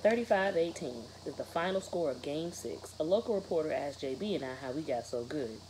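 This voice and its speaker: A woman's voice: low voice, lively intonation, a little fast, noisy background. A woman in her thirties, a teacher reading a children's novel aloud and putting questions to students. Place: bedroom, indoors, sitting in bed.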